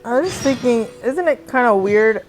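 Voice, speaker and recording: A woman's voice: on the high side, lively intonation, moderate pace. A woman chatting casually as she plays a game, musing aloud and reacting to what happens. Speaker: gameplay video creator. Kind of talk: casual commentary over gameplay. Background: game music.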